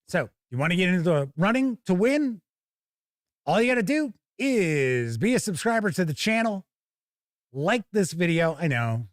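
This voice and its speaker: A man's voice: medium pitch, no echo, studio quality. A man hosting a tabletop gaming livestream, chatting casually and informally to viewers as he thinks aloud. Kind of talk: casual live unboxing chat to viewers.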